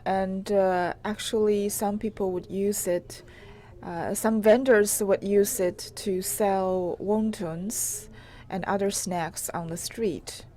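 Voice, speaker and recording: A woman reporter giving an unscripted live tour on location, in a halting, hesitant way with pauses mid-phrase. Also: mid-range voice, fairly even intonation, mellow. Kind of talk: unscripted live walk-through narration. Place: on location inside an old house. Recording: clearly noisy on-location live recording.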